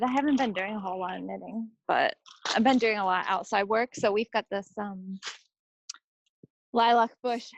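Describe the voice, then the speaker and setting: A woman talks casually as a podcast co-host. Her voice crackles with vocal fry and sits dry, with no room around it. She comes across as chatty and informal. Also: mid-range voice, no room sound, muffled recording. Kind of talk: casual chat about crafts and home life.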